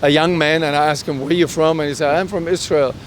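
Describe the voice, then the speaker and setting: A middle-aged man from Germany, a native German speaker with a German accent, telling personal stories earnestly and haltingly in an interview. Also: fairly even intonation. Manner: halting, earnest delivery with self-quoted dialogue. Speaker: interview guest. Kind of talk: personal storytelling in a conversational interview. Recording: outdoor street recording with traffic rumble.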